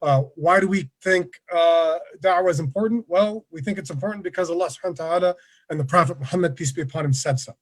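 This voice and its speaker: A man's voice: a little high, fast talker. Casual man giving an online talk. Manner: relaxed, conversational, with many fillers. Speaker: presenter of an online workshop. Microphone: video call.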